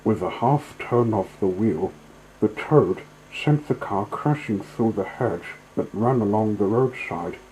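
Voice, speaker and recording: A man's voice: lowish voice, darkish tone, slight background noise, rough recording. A man narrating a storybook as a storyteller, reading from a scripted text.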